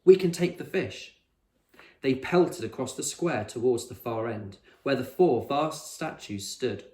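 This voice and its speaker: Male teacher-narrator, expressive children's storybook read, medium pitch, echoey room. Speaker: teacher reading a children's novel aloud.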